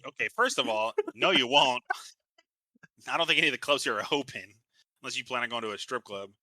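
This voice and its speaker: A man's voice: mid-range voice, a little fast, bright, forceful tone, dry recording. A young man co-hosting a podcast in casual chat, blunt and argumentative, swearing a lot. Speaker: podcast co-host.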